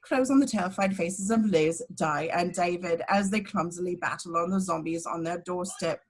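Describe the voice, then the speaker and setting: A woman's voice: on the low side, moderate pace. A woman giving scripted narration, reading out the action lines of a film screenplay at a table read.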